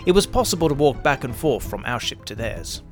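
A male narrator reads scripted pulp science fiction in the first person. His pitch is average for a man. Quiet music sits behind the story. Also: very animated, brisk. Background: faint music.

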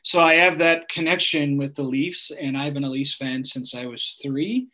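A man, chatting casually as a guest in an interview, relaxed, with frequent laughs and many fillers. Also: on the high side, normal speed. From Newfoundland, Canada. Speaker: food bank guest.

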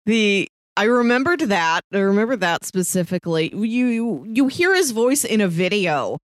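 A woman's voice: mid-range voice, sing-song, moderate pace, no echo, studio quality. A woman co-hosting a podcast in a casual, wry, conversational way. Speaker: podcast co-host. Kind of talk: casual chat.

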